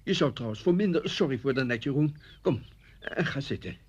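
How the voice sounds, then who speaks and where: Very husky, jittery schoolmaster. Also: male voice, mid-range voice, lively intonation. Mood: agitated, nervous. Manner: agitated, halting. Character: nervous, irritable male schoolmaster under threat. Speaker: actor in a radio thriller. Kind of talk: 1970s Dutch radio play acting. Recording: dull 1970s radio recording.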